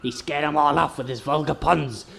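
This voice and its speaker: Creaky, hearty old captain. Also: male voice, a little high, steady tone, normal speed, a bit bright. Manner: hearty, drawling pirate talk. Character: gruff, cheery one-eyed old pirate sea captain. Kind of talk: comic radio sketch acting.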